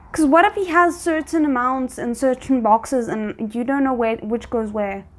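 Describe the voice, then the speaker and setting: Woman with a highish voice doing reaction commentary, chatting back at the screen. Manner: talks back to the characters on screen. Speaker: reaction video host.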